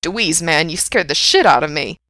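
A woman playing a defensive, flustered young man in an audiobook, with emphatic, halting delivery. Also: low voice, lively intonation, fairly bright tone, no room sound, clean studio sound. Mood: grumbling.

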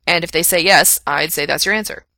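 Woman voicing a forceful, flustered male punk radio DJ. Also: lowish voice, brisk, no echo, clean studio sound. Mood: flustered, eager. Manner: emphatic, blunt, on-air patter.

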